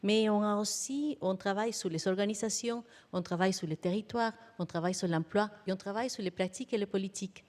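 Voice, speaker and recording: A woman's voice: mid-range voice, quick pace, hardly any pauses, a little mellow. A woman academic giving semi-improvised remarks on a panel, earnest and repeating key terms for emphasis.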